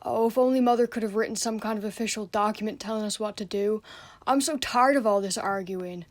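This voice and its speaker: A woman's voice: mid-range voice, fairly quick, no echo, close to an everyday voice. A young female student playing an aggrieved, jealous, overlooked son in a radio play. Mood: indignant. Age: teenager or young adult student.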